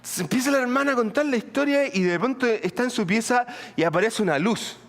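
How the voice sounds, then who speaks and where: A middle-aged man with a Colombian accent, preaching a sermon in an animated, humorous way with asides to the congregation. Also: very high voice, a little fast. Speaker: pastor. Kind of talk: sermon with storytelling.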